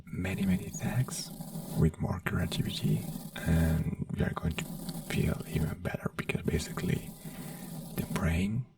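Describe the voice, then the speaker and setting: A man doing a soothing ASMR doctor roleplay in a slow whisper, with frequent fillers and phrasing. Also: deep voice, vocal fry, darkish tone, no echo, far from the mic.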